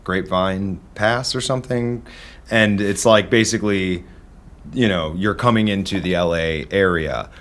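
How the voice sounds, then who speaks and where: Midwestern dude, drummer guest, lowish voice, rambles through stories with lots of you knows. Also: male voice, a little slow, a bit bright. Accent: Midwestern American. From American Midwest. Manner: rambling storyteller, many fillers and restarts. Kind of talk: casual chat.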